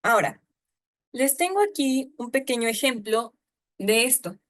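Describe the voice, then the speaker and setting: A woman's voice: a little high, lively intonation, measured pace. Chatty woman giving a talk. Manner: chatty, with frequent fillers and asides. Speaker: lecturer. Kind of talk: informal online talk on storytelling.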